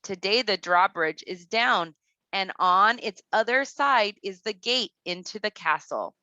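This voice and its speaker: A woman with a very bright, forward voice reads aloud as a kindergarten teacher would. She takes it slowly and deliberately, pausing to explain things straight to the children. The sound is close and dry. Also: mid-range voice, expressive, dry recording, highs cut off. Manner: deliberate, instructive, addresses children directly. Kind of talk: read-aloud to young children with teaching asides.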